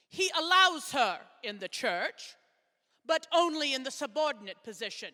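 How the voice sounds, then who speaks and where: A woman in a readers theater playing a resolute 1840s suffragist, declaiming solemnly and emphatically in short, halting phrases. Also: high voice, bright, forceful tone, long reverb tail, exaggerated voice.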